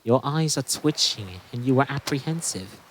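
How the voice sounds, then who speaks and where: A woman's voice: extremely low pitch, expressive, normal speed, slight background noise, poor audio. A woman voicing a firm, no-nonsense heroine in an audio drama, direct and challenging. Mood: probing. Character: firm, no-nonsense heroic keeper of magic star pieces, Maple.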